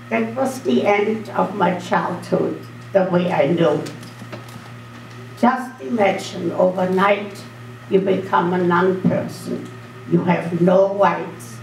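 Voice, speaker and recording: Slow, low-voiced older woman in a hall. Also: a little mellow. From Austria. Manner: slow, earnest storytelling. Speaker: guest speaker. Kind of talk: speaking to students. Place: school auditorium. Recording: echoey hall, distant mic, faint hum.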